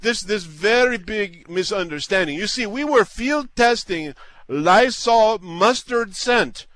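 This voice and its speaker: A crude, cheeky fallen dictator living in a hole, played by a man for a comic interview. The voice is high and very animated, recorded close and dry. He rambles in broken English. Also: slow pace, no room sound, exaggerated voice. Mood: defensive. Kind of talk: improvised comic mock interview.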